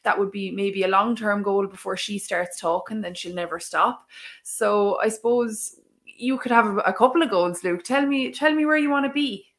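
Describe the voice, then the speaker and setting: An Irish woman with a mid-range voice hosting a relaxed interview. She speaks encouragingly, praising her guest and nudging him on with questions. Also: medium pitch, normal speed. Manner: encouraging, warm praise, frequent "mm-hmm" backchannel. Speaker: interviewer, Irish language tutor. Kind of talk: casual interview chat.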